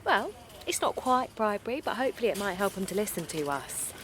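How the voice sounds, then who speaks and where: A woman's voice: medium pitch, sing-song, fairly quick, noisy background, lightly acted. An actress playing Linda, a chatty, bubbly young mum who narrates her campaign in an audio drama. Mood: cheerful. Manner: chatty, bubbly, laughing often. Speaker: narrator and lead character.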